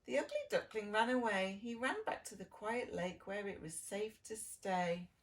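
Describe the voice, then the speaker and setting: A woman reading a children's storybook as a preschool lead, with sing-song delivery, dramatic pauses and simple questions for young listeners. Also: medium pitch, lively intonation, a little hiss or hum. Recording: distant microphone.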